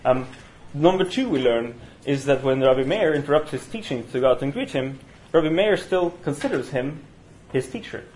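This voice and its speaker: A man with a Norwegian accent leading a university study session, talking in a halting way with many fillers and restarts. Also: medium pitch, lively intonation, darkish tone, slight background noise, poor audio. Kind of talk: university seminar lecture. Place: university room. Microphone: single room mic.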